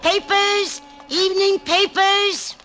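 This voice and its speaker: An actress playing a sharp-tongued old woman selling newspapers who is secretly in on a scheme, in a 1940s radio drama. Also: very high-pitched, fairly even intonation, takes it slowly, music in the background. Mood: hawking. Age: older. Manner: street-hawker calls, sharp-tongued. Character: feisty old woman newspaper seller, a crook.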